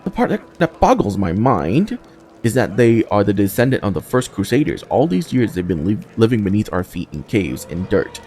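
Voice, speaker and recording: A man's voice: lowish voice, very animated, moderate pace, darkish tone, a little hiss or hum. A man voicing a plucky, plain-spoken young woman soldier from a fantasy game, with a breezy, wry manner. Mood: frank, chatty.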